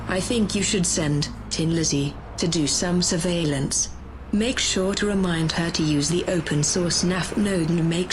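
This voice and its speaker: A woman's voice: on the low side, noisy background, close to an everyday voice. A woman playing a bossy, menacing, power-hungry conspirator in a sci-fi audio drama.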